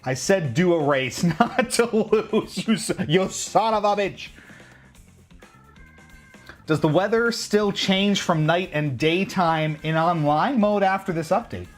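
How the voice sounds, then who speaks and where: A man giving casual, joking commentary while he plays a racing game on a livestream, reacting out loud to every turn. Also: high-pitched, takes it slowly, soft background music. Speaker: livestream gamer.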